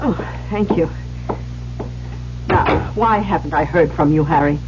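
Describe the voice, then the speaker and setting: A woman's voice: low-pitched, unhurried, background noise. A woman acting in a 1970s radio drama as a worried, wheedling, proper literary agent. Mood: pleading. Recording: muffled, humming old radio broadcast.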